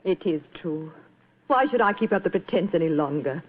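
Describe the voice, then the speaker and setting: A woman's voice: lowish voice, animated, normal speed. A woman playing a proud, distressed wife in an old-time radio drama, speaking in an earnest, melodramatic manner. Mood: anguished, confessing. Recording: tinny, band-limited vintage radio recording.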